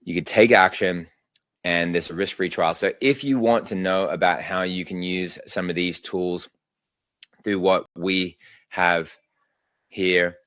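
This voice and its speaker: Deep-voiced man hosting a webinar. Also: fairly even intonation, measured pace, fairly bright tone, dry recording. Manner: casual, chatty, many fillers. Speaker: webinar host. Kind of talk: presenting slides on a live webinar.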